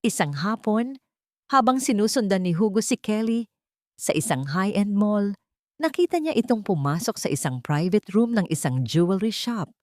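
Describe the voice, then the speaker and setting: A woman's voice: mid-range voice, animated, moderate pace, darkish tone, no echo, studio quality. A woman narrating a scripted dramatic romance story.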